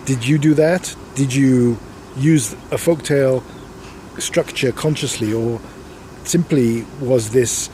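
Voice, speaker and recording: A man posing literary interview questions in a deliberate, halting way with formal wording. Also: mid-range voice, warm tone, slight background noise. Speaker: interviewer, writer.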